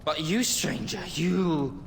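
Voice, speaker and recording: A jittery stoner putting on mystic airs. His slightly high voice rings with a long, roomy echo. Loud noise hums underneath. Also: slow, vocal fry. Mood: mock-mystical. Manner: nerdy, excitable, mock-mystical. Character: jittery stoner man, fake mystic sidekick. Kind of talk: video game acting.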